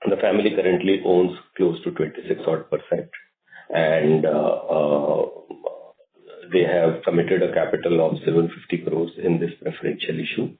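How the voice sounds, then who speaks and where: Very deep-voiced Indian man, echoey conference line. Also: little pitch movement. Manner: halting, hesitant delivery. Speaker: company executive on an investor call. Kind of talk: corporate earnings call remarks. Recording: muffled phone conference, echoey room.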